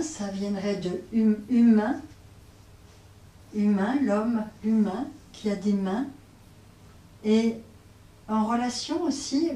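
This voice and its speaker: A woman teaching yoga nidra, guiding relaxation in a hesitant way, often pausing and repeating phrases. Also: mid-range voice, dark tone. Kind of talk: guided relaxation instruction. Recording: roomy, distant mic, faint noise.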